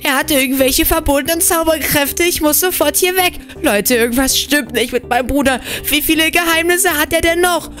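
Female actor as nervous, excitable older brother for game role-play; high register, exclamatory, stammers under pressure. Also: normal speed, few pauses, faint music behind. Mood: panicked. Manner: breathless exclamations, addressing viewers, stammering when flustered. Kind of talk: acted role-play game story for viewers.

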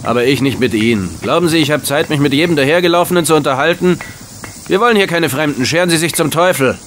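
A man's voice: a little high, fast talker, a bit bright, a little hiss or hum, natural voice. An actor playing a brusque, bossy and indignant male stable boss in a German audio drama. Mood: hostile, indignant. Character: gruff, hostile male racing-stable boss.

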